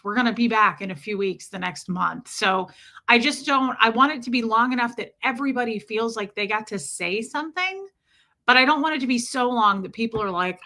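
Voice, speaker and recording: Female streamer, bright and forward tone, chatty and warm, lots of filler words. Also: mid-range voice. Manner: chatty and warm toward viewers, many fillers. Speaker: livestream host. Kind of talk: casual livestream chat, answering viewer comments.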